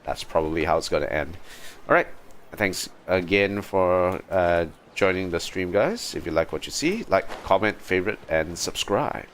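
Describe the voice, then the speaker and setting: A man giving casual livestream commentary as he plays a game, musing aloud in a halting, hesitant way. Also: low voice, expressive, fairly bright tone, slight background noise. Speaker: livestream host playing a game. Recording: game music behind the voice. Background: video game music.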